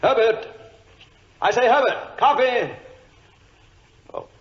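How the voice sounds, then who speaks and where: Overwrought English clerk, vintage radio drama. High, swooping, excitable delivery, British accent, dull old recording. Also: male voice, slow pace, slight background noise, exaggerated voice. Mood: drowsy, irritated. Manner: overwrought, exclamatory, stammering. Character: anxious, excitable young English government clerk. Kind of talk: 1940s radio drama acting.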